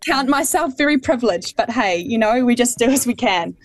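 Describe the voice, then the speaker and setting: A young woman in her twenties with a New Zealand accent, a guest on a radio interview, talking in a modest, lively way. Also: on the high side, brisk, no room sound, low-quality recording. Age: 20s. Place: remote link.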